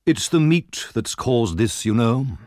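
A man's voice: mid-range voice, animated, a little slow, a little mellow, dry recording, clean studio sound, lightly acted. A man voicing a pompous, indignant male parish beadle in a storybook reading. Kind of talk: storybook narration character.

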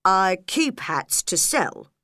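A woman doing a slow, shaky hatter. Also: mid-range voice, sing-song, pushed and bright, dry recording, studio quality, heavily acted. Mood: nervous. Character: frightened, timid male hatter. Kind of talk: storybook character voice.

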